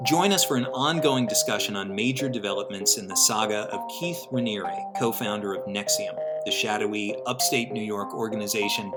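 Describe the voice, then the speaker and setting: Man reading a promo over loud music. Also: on the low side, moderate pace, hardly any pauses. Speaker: newspaper editor, podcast promo presenter. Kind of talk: scripted promo narration. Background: prominent music.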